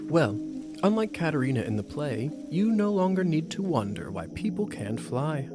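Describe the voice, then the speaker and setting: An actor playing a suave, teasing aristocratic vampire officer in a video game, smooth and condescending. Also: male voice, on the high side, big pitch swings, moderate pace. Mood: suave, amused. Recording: game music under the voice.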